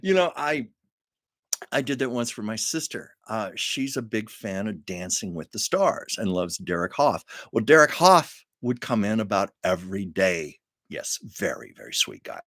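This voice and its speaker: A man's voice: fairly low pitch, big pitch swings, moderate pace, fairly bright tone, no echo, studio quality. A man hosting his own livestream from home, chatting casually with viewers and laughing often. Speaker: livestream host. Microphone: camera on a livestream setup.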